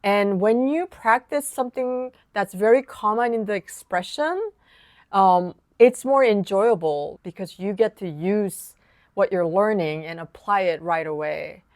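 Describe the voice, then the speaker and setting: A woman whose first language is Korean, a piano teacher explaining practice tips in a calm, casual lesson. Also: medium pitch, measured pace, no echo, clean studio sound. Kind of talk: online lesson talk.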